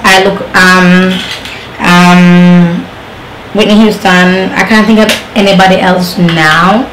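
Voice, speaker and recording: A young woman from Jamaica chats casually to her camera, rambling and restarting with plenty of fillers. Her voice sits at an everyday pitch. The room is echoey, with a faint hiss behind her. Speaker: beauty vlogger reviewing hair.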